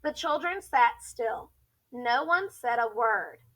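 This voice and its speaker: A woman teacher reading a children's story aloud in an expressive, deliberate storytelling style. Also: on the high side, poor audio.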